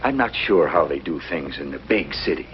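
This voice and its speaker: A man's voice: extremely low pitch, expressive, a little fast. A man playing an earnest, easily indignant small-town newspaper editor in a 1950s TV drama, formal and pointed. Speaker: actor in a TV drama. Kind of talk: 1950s television drama acting. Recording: muffled, hissy old TV soundtrack.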